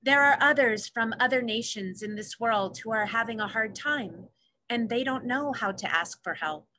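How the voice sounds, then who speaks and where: A woman's voice: fairly high pitch, moderate pace, sharp tone. A woman playing a hopeful female orca leader in a play reading, solemn and pleading in her declamation. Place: home room, video call.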